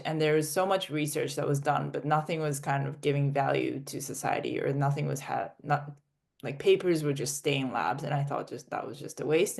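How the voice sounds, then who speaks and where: A woman in her twenties with a mixed international English accent, a startup founder telling her story as a podcast guest in a fluent, steady way. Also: low-pitched, creaky. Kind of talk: conversational interview answers.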